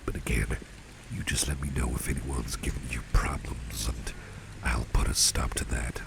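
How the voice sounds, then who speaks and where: A man playing a kindly, genteel boss in an ASMR roleplay, speaking in an unhurried, breathy half-whisper with a gravelly depth. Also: rumbling low, fairly even intonation, noisy background, heavily acted. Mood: reassuring. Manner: genteel, unhurried, repeats phrases for emphasis. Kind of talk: ASMR roleplay, soft-spoken personal attention.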